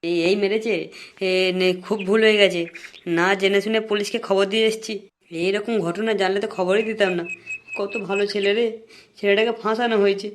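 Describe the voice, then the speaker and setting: A man's voice: high-pitched, fairly even intonation, fairly quick, slight background noise, natural voice. A man voicing a cagey, money-minded young informer in a comic cartoon, wheedling and chatty. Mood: regretful, nervous.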